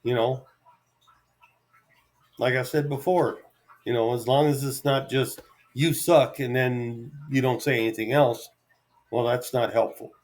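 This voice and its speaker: A middle-aged man talks off the cuff to his camera in an average-pitched voice. His delivery is easygoing and halting. He gives an unhurried, self-deprecating ramble rather than a polished script. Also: steady tone, a little mellow. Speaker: vlogger. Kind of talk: unscripted solo ramble to camera.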